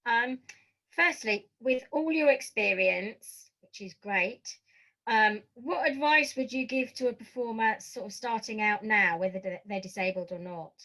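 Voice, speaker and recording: A woman's voice: fairly high pitch, a little slow, pushed and bright, rough recording. British woman hosting an interview. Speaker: podcast interviewer. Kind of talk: conversational interview.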